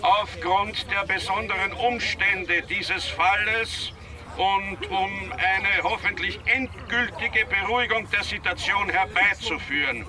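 A formal police inspector in a radio play, speaking in a high register. His phrasing is stiff and bureaucratic. A crowd murmurs behind him. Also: takes it slowly. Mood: formal, measured. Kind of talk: German radio drama acting.

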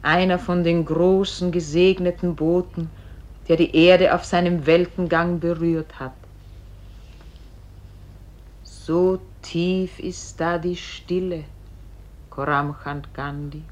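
Solemn spirit lady guiding a soul, slow drawn-out phrases, poetic radio play. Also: lowish voice, flat delivery, a little mellow. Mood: solemn, mysterious.

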